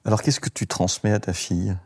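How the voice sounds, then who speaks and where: A man's voice: lowish voice, level tone, fairly quick, darkish tone. A man hosting a podcast interview, asking questions casually and giving frequent short agreements. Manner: informal, lots of short backchannel agreement. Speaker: podcast host. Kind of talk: conversational interview.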